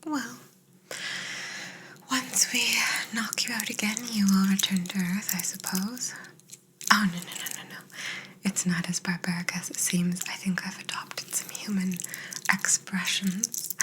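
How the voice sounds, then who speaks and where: A woman whispering softly and breathily in an ASMR roleplay as a polite, curious, clinical alien examining a human. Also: medium pitch, fairly even intonation, noisy background. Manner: slow, polite, clinical, murmuring short reassurances.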